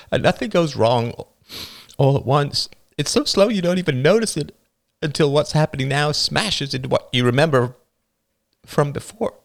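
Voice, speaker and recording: A man voicing a grieving young teenage boy who talks haltingly, his voice climbing high and strained. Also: vocal fry.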